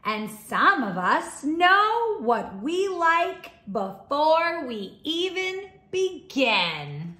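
A woman teaching young children, reading a storybook aloud in an expressive, deliberate way. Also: high voice. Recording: roomy, echoing indoor sound.

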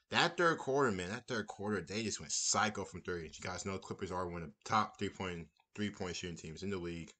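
Low-voiced young man, rambling reaction. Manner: relaxed, rambling, repeats phrases. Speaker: basketball fan commentator. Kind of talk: casual post-game reaction. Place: small room indoors.

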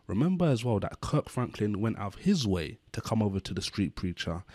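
A man's voice: low-pitched, a little mellow, no room sound. A man in his thirties hosting a channel, talking to camera indoors and earnestly explaining a story through scripture. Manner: earnest, explanatory, leans heavily on "basically". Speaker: channel host. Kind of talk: Bible-based commentary on a news story.

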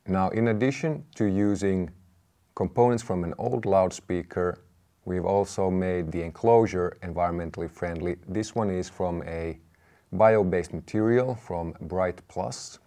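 A man in his thirties giving a measured, explanatory product presentation to camera. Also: low-pitched, warm tone. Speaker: presenter, company representative. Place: indoor room with brick wall, seated at a table.

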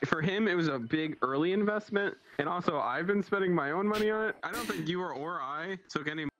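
A man's voice: sits high, lively intonation, fairly quick, low-quality recording. A man in casual chat as a guest on a stream, rambling and circling back over his phrases. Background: background music.

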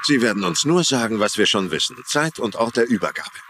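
Actor as brusque, animated operative man, noisy soundtrack. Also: medium pitch, a little fast, close to an everyday voice. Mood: reassuring, confident. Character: urgent, combative male operative running a ransom handover. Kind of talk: German-dubbed TV crime drama acting.

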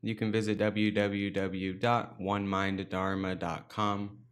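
A man leading a guided meditation, speaking calmly and without hurry, leaving pauses between short instructions. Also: fairly low pitch. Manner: calm, unhurried instruction with pauses. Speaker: meditation teacher.

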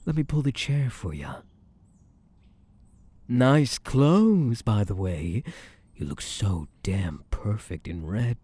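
A man's voice: fairly low pitch, dark tone, slightly rough, dry recording, exaggerated voice. A man playing a smug, possessive, flirtatious mafia boss in an intimate ASMR roleplay, with a teasing, drawling, menacingly sweet manner.

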